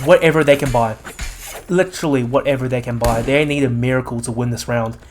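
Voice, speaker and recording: A man working as an esports caster and interviewer, with a slightly croaky voice and an excitable way of talking. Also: mid-range voice, brisk, a little hiss or hum. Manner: excitable, exclaiming at big plays. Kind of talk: live game commentary and post-match interview.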